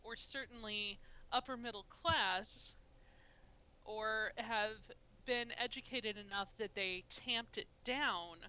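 A woman's voice: a little high, bright, forceful tone, smooth, poor audio. A woman chatting casually as a podcast co-host, emphatic and laughing often. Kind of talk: casual chat.

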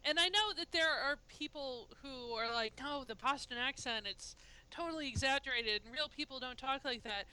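A woman's voice: high voice, moderate pace, bright, forceful tone, clear, resonant tone, rough recording. A woman chatting casually as a podcast co-host, emphatic and laughing often. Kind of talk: casual chat.

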